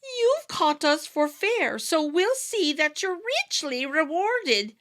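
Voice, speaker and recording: A woman doing a sly high-voiced little fairy man. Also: very animated, takes it slowly, a bit bright, heavily acted. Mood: coaxing. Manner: sly, high and sing-song. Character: leader of the wee folk, sly little man.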